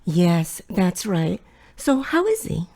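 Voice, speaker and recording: Woman acting a fussing mother, swooping, creaky voice. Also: lowish voice, sing-song, takes it slowly, darkish tone, exaggerated voice. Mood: fussing, curious. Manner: fussing, maternal, probing. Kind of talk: audio drama acting.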